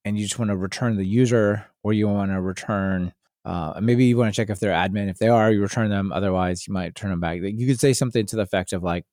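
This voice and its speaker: A man's voice: fairly low pitch, steady tone, quick pace, darkish tone, no room sound, studio quality. A man hosting a podcast, talking casually about tech. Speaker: podcast host.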